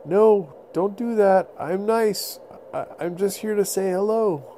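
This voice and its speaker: Casting: adult male narrator as little boy hero of a bedtime tale, pitched high, polite, a bit nervous and hesitant. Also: unhurried, mellow, slight background noise. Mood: nervous, pleading.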